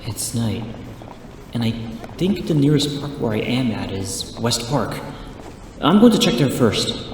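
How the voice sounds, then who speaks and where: A man playing an eager, polite young martial arts student in an audio drama, earnest and expressive, in an echoey recording. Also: medium pitch, a little slow, pauses often. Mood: determined. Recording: echoey, reverberant, faint background noise.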